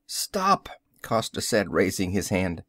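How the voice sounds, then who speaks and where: A male narrator reads an audiobook in a measured, clear storytelling manner. His pitch moves in a lively way. The recording is dry and close. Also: no echo. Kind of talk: scripted narration.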